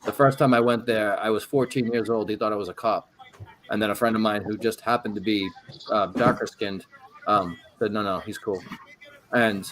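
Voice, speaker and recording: Low-voiced laid-back man, faint hiss. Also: fairly even intonation, a bit bright, rough recording. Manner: laid-back, unhurried storyteller with frequent ums. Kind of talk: casual chat, storytelling.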